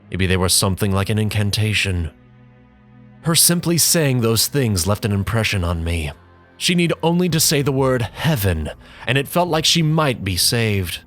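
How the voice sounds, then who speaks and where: A man's voice: on the low side, fairly bright tone. A man voicing a bitter, brooding young man. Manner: measured, brooding, contemptuous, with dramatic pauses. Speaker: narrator in character. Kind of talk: dramatic audiobook reading.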